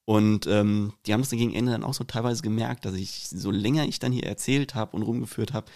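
A man's voice: lowish voice, steady tone, brisk, dark tone. A man from Rüsselsheim with a Hessian accent, co-hosting and telling stories, often stumbling and repeating words as he starts a thought. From Rüsselsheim, Germany. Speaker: podcast co-host. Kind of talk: casual anecdote telling.

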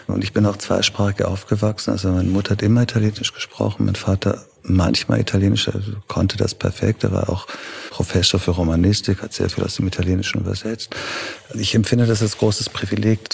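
A middle-aged man, raised in Italy and Germany, answering interview questions thoughtfully as a festival director, pausing to search for words. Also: lowish voice, gravelly.

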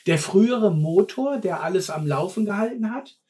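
A man talks bluntly in a relaxed chat. His voice sits a touch high for a man, and he keeps circling back on his own words as he hunts for the next thought. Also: moderate pace. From Ruhr area, Germany. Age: 50s. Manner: blunt, repeats words while searching. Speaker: former mine fitter, guest. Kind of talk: casual conversation.

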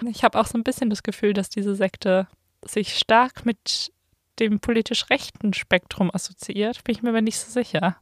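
A woman co-hosting a casual podcast, talking in a chatty, animated storytelling way. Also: medium pitch, moderate pace, no room sound, clean studio sound. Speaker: podcast co-host. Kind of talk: casual chat. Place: small recording studio.

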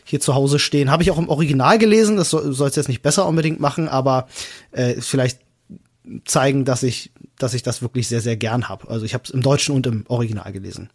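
A man's voice: medium pitch, fast talker, slightly rough. A man co-hosting a casual podcast, explaining his opinions in an earnest, emphatic way. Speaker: podcast co-host.

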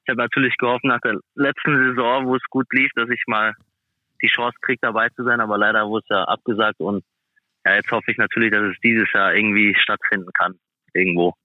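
A man's voice: on the low side, steady tone, fairly quick, no room sound, phone-quality sound. A man being interviewed casually as an athlete guest, speaking in a hesitant, plain-spoken way. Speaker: guest, professional ice hockey player.

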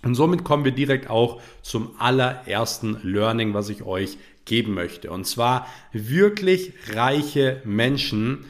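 A man's voice: medium pitch, lively intonation, a little slow, hardly any pauses. Young guy with a cold doing a motivational podcast rant, earnest, keeps saying ja. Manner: earnest, repetitive, frequent "ja" fillers. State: has a cold. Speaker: podcast host.

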